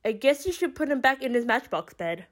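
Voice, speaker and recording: An excitable young woman in an audio drama, played by an actress, is brisk and blunt with her friends. Her voice is high. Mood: alarmed, blunt.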